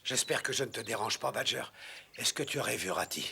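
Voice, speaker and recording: A man voicing a friendly, protective animal from the riverside in a French cartoon dub, with a gravelly voice. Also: mid-range voice, fairly quick, heavy background noise. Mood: courteous. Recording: loud music behind the voice.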